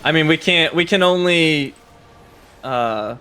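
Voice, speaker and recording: A hoarse man with a raspy voice, a game producer chatting casually as a guest, hesitant and often restarting his sentences. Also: fairly high pitch, expressive, takes it slowly, bright, forceful tone. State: hoarse from a cold. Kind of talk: casual chat while playing.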